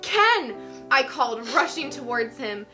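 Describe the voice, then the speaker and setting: A woman hosting a vlog, giving a theatrical, expressive reading of her own writing and chatting casually in a giggly way. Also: high voice, unhurried, over music. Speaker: vlog host.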